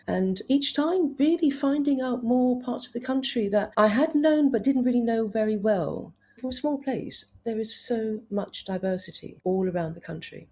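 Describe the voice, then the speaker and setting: A woman's voice: fairly high pitch, lively intonation, normal speed, hardly any pauses, darkish tone. A woman in her fifties, a travel writer telling stories with enthusiasm in a conversational interview. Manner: enthusiastic, anecdotal storytelling.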